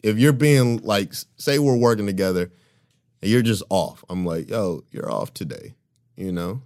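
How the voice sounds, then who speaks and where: Deep-voiced man in animated casual chat. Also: normal speed. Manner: animated, emphatic, laughs often. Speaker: podcast co-host.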